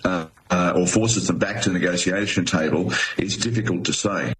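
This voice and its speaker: A man's voice: low-pitched, normal speed, fairly bright tone. A man giving a considered, slightly hesitant expert answer in an interview clip. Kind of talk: interview soundbite.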